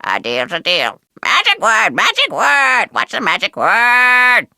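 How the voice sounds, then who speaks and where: A cheeky, vain talking parrot from a video game, with a piercingly bright squawk. The pitch swoops wildly as this bossy bird repeats itself in clipped exclamations. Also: female voice, wildly swooping pitch, measured pace, creaky, no room sound, clean studio sound, full character voice. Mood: demanding. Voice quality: squawky, shrill. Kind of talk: cartoonish video game character acting.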